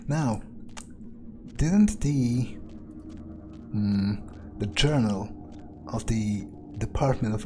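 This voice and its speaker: A man role-playing a wary, foul-mouthed vampire in a game, thinking aloud in a hesitant, muttering monologue with pauses and swearing. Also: on the low side, background noise. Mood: puzzled, musing. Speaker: gameplay video creator role-playing his character. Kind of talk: in-character improvised gameplay monologue, thinking aloud.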